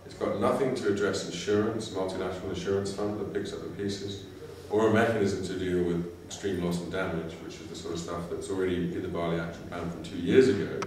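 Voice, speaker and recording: A man's voice: low voice, flat delivery, quick pace, hardly any pauses, a little mellow, slight background noise. A blunt, exhausted man speaking as a climate adaptation official, swearing freely in an interview. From United Kingdom. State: exhausted from lack of sleep. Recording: echoey room, voice from far off.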